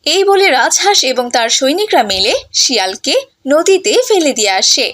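A woman's voice: high voice, very animated, moderate pace, a bit bright. A woman narrating a scripted story for children, in the manner of a fairy-tale cartoon.

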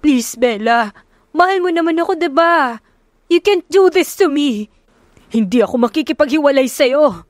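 A woman's voice: high voice, expressive, normal speed, no room sound, studio quality, pitched above the performer's own voice. A woman voicing a desperate, possessive jilted husband who pleads insistently in an audiobook romance drama. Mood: frantic begging.

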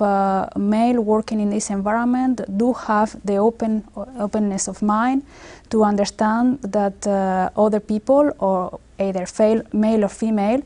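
Spanish-accented woman with a mellow voice. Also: mid-range voice. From Spain. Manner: measured, earnest, many filler pauses. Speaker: space agency engineer. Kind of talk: documentary interview. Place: office with large screen and window.

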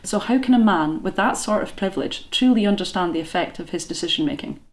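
A woman in her thirties speaking pointedly and deliberately to camera in a living room. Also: on the low side, animated.

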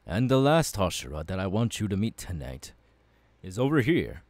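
A man's voice: fairly low pitch, sing-song, normal speed, a little mellow, dry recording. A man playing a passionate, courteous young warrior mentor in an ASMR audio roleplay, speaking in an earnest, formal and encouraging way. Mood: earnest, proud.

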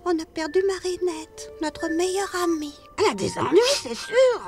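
A woman's voice: extremely high pitch, expressive, normal speed. A woman voicing a cheerful little girl water droplet in a cartoon, with a bubbly, singsong manner. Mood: lively. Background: loud children's music.

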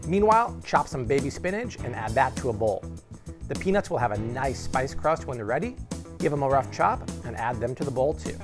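A man's voice: mid-range voice, animated, fairly quick. Chicago man in his thirties, bouncy cooking host, home kitchen, lapel mic, music faint underneath. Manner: upbeat, playful, salesy. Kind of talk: energetic cooking demo.

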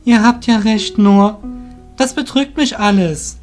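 A man's voice: sits high, slow pace, darkish tone, faint music behind, pitched above the performer's own voice. A man voicing a troubled young warrior in an audio drama, earnest and plaintive, blaming himself. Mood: upset, pleading.

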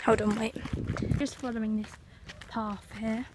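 A woman's voice: a little high, flat delivery, a little slow. A teenage girl chatting casually into a phone held at arm's length outdoors, rambling with lots of ums and likes. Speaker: vlogger. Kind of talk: casual vlog chat. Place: outdoors at a sunny marina and woodland path. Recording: noisy outdoor phone recording.

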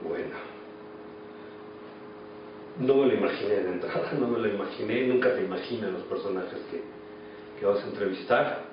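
Echoey, low-voiced Mexican man. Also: normal speed, long pauses. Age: 40s. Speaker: actor being interviewed. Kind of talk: reflective interview talk. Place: small office indoors. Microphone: camera mic at a distance. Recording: echoey, distant, dull, noisy room.

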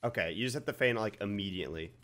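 A young man with a slightly raspy voice talks through a video game from his home setup. He reacts in quick excited outbursts and swears casually, with pitch that jumps around a lot. A faint hiss and some clicks sit under his voice. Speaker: gaming YouTuber. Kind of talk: live gameplay commentary. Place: home gaming setup, indoors.

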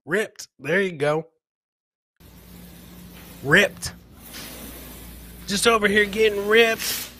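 A man's voice: high-pitched, drawn-out words, pauses often, bright, forceful tone, slight background noise. A middle-aged man chatting and joking as he hosts live reactions to viral clips, often repeating his phrases. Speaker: comedy host.

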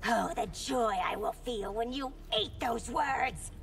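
A woman's voice: on the high side, takes it slowly, a little hiss or hum. A woman playing a fierce, indignant warrior empress in a video game, emphatic and combative. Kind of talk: video game dramatic dialogue. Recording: clear music behind the voice.

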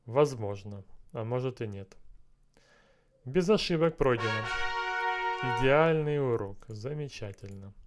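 Slow-talking man working through a lesson. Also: mid-range voice, expressive, music in the background. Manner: slow, repeats and translates phrases, mutters to himself. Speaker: language learner streaming a lesson. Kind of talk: thinking aloud while doing app exercises. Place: small room indoors. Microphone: headset.

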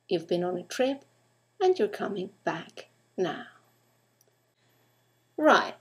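A woman teacher narrating a scripted grammar lesson, enunciating carefully and putting questions to the listener. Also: mid-range voice.

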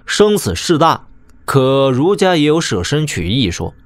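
Lively, irreverent young swordsman. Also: mid-range voice, measured pace, no echo. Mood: wry, reflective. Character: wry, easygoing young nobleman swordsman, irreverent. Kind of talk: Chinese martial-arts audio drama acting.

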